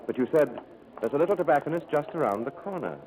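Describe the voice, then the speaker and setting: Actor as deferential, lively-voiced male aide. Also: a little high, fast, natural voice. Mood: concerned, probing. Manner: polite, earnest. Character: deferential, concerned English aide to a titled gentleman. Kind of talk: 1940s radio acting. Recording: noisy old radio drama recording.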